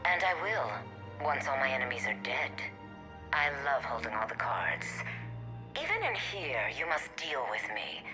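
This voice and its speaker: A vengeful, sadistic mercenary queen in a sci-fi game. Her low, cutting voice gloats and threatens with open contempt. Faint music sits underneath. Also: big pitch swings, normal speed, pushed and bright, full character voice. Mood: gloating menace. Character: sadistic, unhinged, vengeful imprisoned woman mercenary boss. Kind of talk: dramatic video game dialogue.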